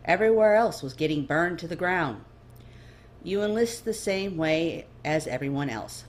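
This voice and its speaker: A woman's voice: sits low, a little hiss or hum. A woman reading fiction aloud as scripted audiobook narration. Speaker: fan fiction reader.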